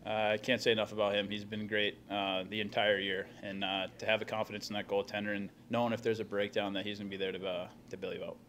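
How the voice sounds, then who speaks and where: A man's voice: lowish voice, level tone, fast talker, few pauses, a bit bright, slight background noise. A young man, a hockey player, answering reporters' questions at a postgame press conference into a table microphone, talking plainly with many fillers and restarts. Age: young adult. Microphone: table microphone in front of him.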